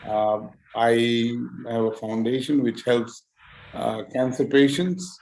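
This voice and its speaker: Slow, hesitant male guest speaker. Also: medium pitch, a little mellow, poor audio. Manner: hesitant, many fillers and restarts. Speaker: guest speaker, physician and YouTuber. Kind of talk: conversational interview answers.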